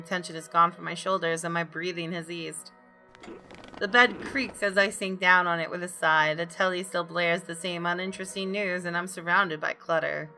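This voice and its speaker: A woman's voice: on the low side, pushed and bright. A woman gamer reading visual novel narration aloud expressively, with her own asides. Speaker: gaming video host.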